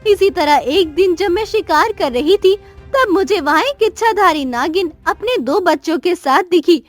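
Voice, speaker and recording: A very high, girlish-pitched young queen voiced by a woman from a cartoon tale. She is an anxious, guilt-ridden mother who speaks earnestly and dramatically, pleading, with faint music underneath. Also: lively intonation, moderate pace. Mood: confessing. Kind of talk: Hindi cartoon story dialogue.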